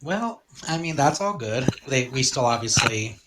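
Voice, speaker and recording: Woman, extremely low raspy rumble, casual podcast banter, playful, teasing, quick to laugh. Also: lively intonation. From United States East Coast. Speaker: podcast co-host.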